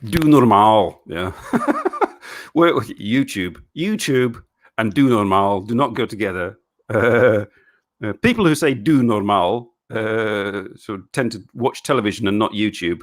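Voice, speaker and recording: A middle-aged man with a deep, low voice. He chats loosely on a live stream, reading out viewer questions and answering them in halting bursts, with stumbling restarts and frequent chuckles. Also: very animated. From England.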